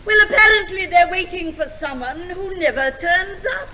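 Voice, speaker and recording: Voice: very high-pitched, lively intonation, moderate pace, pushed and bright, exaggerated voice. A performer playing a genteel, innocent older lady with a wistful manner in a 1950s stage comedy sketch. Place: large theatre stage. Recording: noisy 1950s theatre recording.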